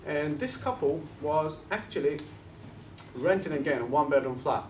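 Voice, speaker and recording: A man with a Bulgarian accent presents to a live audience in a slightly high voice, lively and full of direct questions. He is picked up from a distance, so the sound is boomy and reverberant. The recording is a little dull and carries a faint hiss. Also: moderate pace. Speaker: seminar presenter. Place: meeting room with an audience. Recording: echoey room, distant mic, muffled, faint hiss.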